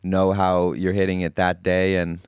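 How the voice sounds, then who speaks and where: A young man from Finland with an American accent, calmly explaining things as an interview guest and often repeating words. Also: sits low, normal speed, smooth, no room sound, studio quality. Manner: calm, even explanations with frequent word repeats. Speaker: guest, golf caddie. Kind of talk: casual interview answers.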